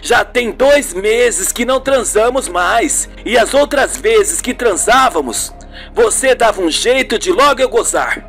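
A wounded young man in a radio soap, put on by a solo performer, needy and suspicious. He pleads at a slow pace over faint music. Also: mid-range voice, expressive, fairly bright tone. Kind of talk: radio soap opera acting. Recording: music behind the voice.

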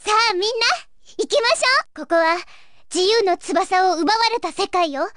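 An actress playing a gentle, earnest young fairy guide of a dream world in a video game, with a wistful, pleading manner. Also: female voice, very high voice, moderate pace, slight background noise. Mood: relieved, then urgent. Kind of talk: Japanese video game story acting.

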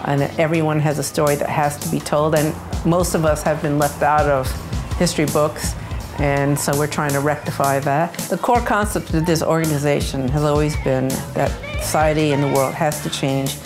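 A woman's voice: deep voice, fairly even intonation, moderate pace. A woman giving explanatory interview talk as a staff member of an organization. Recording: music under the voice. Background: music.

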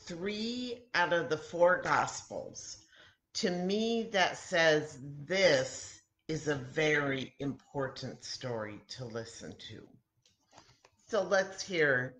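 A woman in her sixties talking and reading to children in simple, deliberate phrases with frequent pauses, as a story time host. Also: low-pitched, fairly bright tone. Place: home kitchen, indoors. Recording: roomy echoey home webcam recording.

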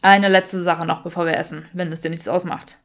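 Woman playing young male wizard, lowered voice, vain, teasing, fantasy audiobook. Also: flat delivery, brisk, natural voice. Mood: decisive.

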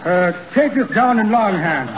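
High-pitched blustering Irish police sergeant. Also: male voice, animated, measured pace. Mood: bossy. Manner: pompous, repetitive, bossy. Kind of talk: 1940s radio comedy acting. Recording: scratchy, muffled 1940s radio recording.

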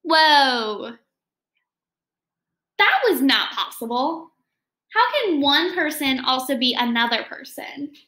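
Young woman, high pitch, roomy echo, children's read-aloud, asides to young listeners. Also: very animated, takes it slowly, long pauses, bright, forceful tone. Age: young adult. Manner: addresses young listeners with questions and comments. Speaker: storyteller reading aloud to children. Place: small home room, indoors.

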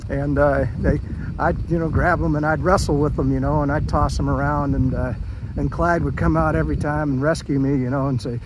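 A man's voice: a little high, steady tone, fairly quick, background noise. A middle-aged man talking casually while he walks a city park trail and films on a handheld phone, folksy and rambling with many fillers. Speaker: park walk-through vlogger. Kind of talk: casual walking commentary. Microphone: handheld phone camera.

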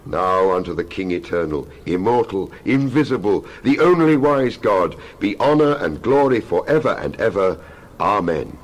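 A man giving a dramatized scripture reading as a Bible narrator, solemn and emphatic, with measured oratorical phrasing. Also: low-pitched, very animated. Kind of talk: dramatized scripture reading, King James English.

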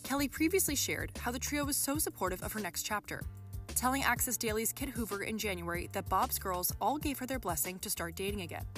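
A woman's voice: mid-range voice, animated, fairly quick, a bit bright, soft background music. A woman reading scripted entertainment news narration.

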